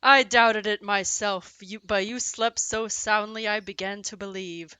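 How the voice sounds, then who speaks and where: Woman playing a bright, bold warrior sister. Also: mid-range voice, steady tone, unhurried, smooth, no room sound. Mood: caring. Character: bold, battle-loving warrior sister, protective and hearty. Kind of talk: fantasy audio drama acting.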